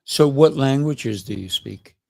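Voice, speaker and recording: A man's voice: mid-range voice, lively intonation, a little slow, creaky, darkish tone, no echo. A man hosting a podcast and interviewing a guest, encouraging him and murmuring "mm" as he listens. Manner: encouraging, frequent "mm" backchannels. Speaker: podcast host.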